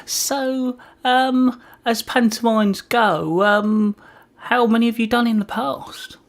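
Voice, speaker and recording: A man's voice: extremely high pitch, fairly even intonation, slow. A man hosting a casual community radio interview, asking questions in a polite, tentative way.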